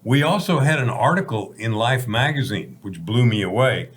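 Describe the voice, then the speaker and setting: A man's voice: medium pitch, lively intonation, moderate pace. Folksy American man in his seventies, hosting. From Texas, United States. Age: 70s. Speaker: talk show host. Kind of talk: conversational talk show hosting.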